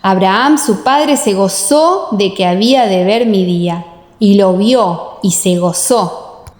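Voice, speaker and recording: A woman voicing a solemn, assured male religious teacher with measured, emphatic delivery in a dramatized audiobook. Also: mid-range voice, darkish tone, echoey room, long reverb tail, lightly acted. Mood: solemn, firm. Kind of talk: audiobook radio-theatre dialogue.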